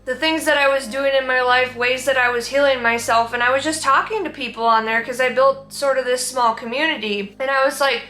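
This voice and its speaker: A woman's voice: sits high, fairly even intonation, a little fast, hardly any pauses, bright, forceful tone. A young woman with a Minnesotan accent, talking earnestly and candidly in a sit-down personal vlog. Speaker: vlogger. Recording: echoey room.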